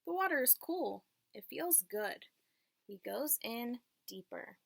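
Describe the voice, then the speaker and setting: A woman's voice: a little high, expressive, takes it slowly, gravelly, a bit bright. A woman reading a picture book aloud to young children, teacher-like, in simple phrases and checking in with questions.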